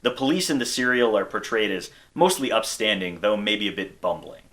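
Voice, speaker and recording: A man's voice: on the low side, expressive, brisk, a bit bright. An American man hosting a video essay, reading scripted narration. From United States.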